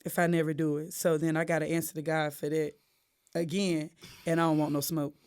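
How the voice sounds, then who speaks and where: A woman, a gospel singer talking casually as a podcast guest and acting out what people said to her. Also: fairly quick. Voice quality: deep voice. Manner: animated storyteller, acts out quotes.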